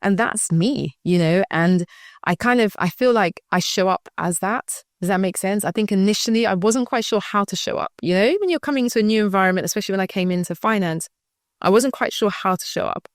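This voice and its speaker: A woman's voice: mid-range voice, brisk, no room sound, studio quality. A woman from the UK with a British accent, answering interview questions in a reflective, conversational way and often checking that she is understood. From United Kingdom. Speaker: school founder, guest.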